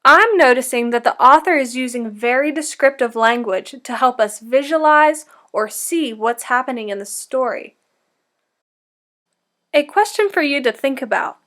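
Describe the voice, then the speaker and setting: A woman with a high-pitched voice reads a picture book aloud as a teacher would for children. Her delivery is deliberate and instructive, made to be followed by young listeners. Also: expressive, fairly bright tone. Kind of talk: interactive storybook read-aloud for children.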